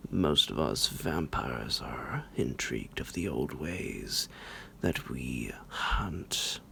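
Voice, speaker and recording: A menacing vampire doctor, very low and heavily creaking. He draws his words out slowly in a theatrical, teasing ASMR monologue, slipping into a breathy half-whisper like a smug captor toying with prey. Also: a bit bright, heavily acted. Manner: slow, drawn-out, theatrical, halting, with low chuckles.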